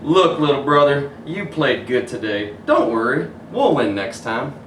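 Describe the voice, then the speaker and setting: A man's voice: medium pitch, sing-song, measured pace, a bit bright. An upbeat, consoling big brother from a storybook, read by a man. He sounds encouraging, a little away from the mic in an echoey room. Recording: roomy echo, distant mic, faint noise.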